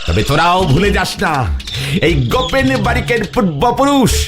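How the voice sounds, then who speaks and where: A man's voice: high-pitched, big pitch swings, normal speed, loud music behind the voice. A man playing a boastful, bumbling cartoon schemer, theatrical and sprinkling English words into his Bengali. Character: boastful, bumbling male schemer of a comic neighbourhood. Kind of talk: exaggerated comedy cartoon acting.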